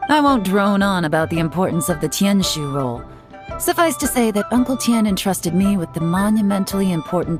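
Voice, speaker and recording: A woman voicing a composed, authoritative woman leader in a game, speaking in a measured way. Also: mid-range voice, close to an everyday voice. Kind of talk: game dialogue. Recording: game audio with music behind.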